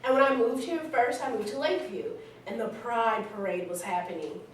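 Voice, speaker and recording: A woman's voice: a little high, animated, brisk, close to an everyday voice. A woman playing a candid, smitten young woman telling her life story conversationally in a staged reading, recorded in an echoey hall. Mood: candid, excited. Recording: very echoey hall, distant mic, faint noise.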